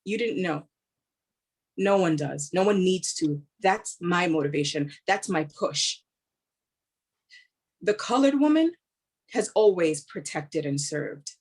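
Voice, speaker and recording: A woman's voice: on the low side, lively intonation, slow pace, long pauses, a little mellow, slightly rough, heavily acted. An actress playing a driven, weary woman police officer in a staged play reading, earnest and blunt in short emphatic phrases. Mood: determined.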